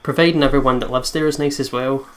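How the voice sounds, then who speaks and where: A man's voice: mid-range voice, fairly even intonation, fast. A man co-hosting a let's play, reading a visual novel aloud and chatting casually about it.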